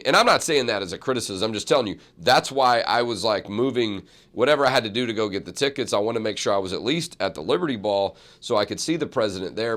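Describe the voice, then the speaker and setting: Hoarse host with a cold, chatty and digressive, slightly low voice. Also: male voice, a bit bright. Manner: rambling, self-correcting, frequent asides. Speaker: talk show host. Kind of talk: casual talk show chat and monologue.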